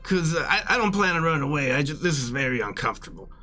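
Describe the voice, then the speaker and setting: A man playing a tabletop game, speaking casually in character in a coaxing, halting way. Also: fairly high pitch, big pitch swings, pushed and bright, soft background music.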